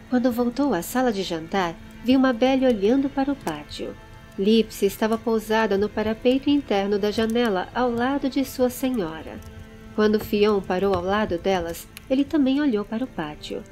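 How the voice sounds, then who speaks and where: A Brazilian woman narrating a dramatized fantasy audiobook in an expressive, measured storytelling manner. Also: a little high. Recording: music under the voice. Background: background music.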